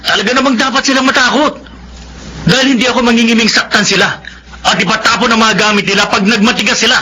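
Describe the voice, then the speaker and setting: A ruthless moneylender in a Filipino radio drama. His threats come quickly in a very high, forceful voice, defiant and menacing. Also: steady tone, a little hiss or hum, poor audio.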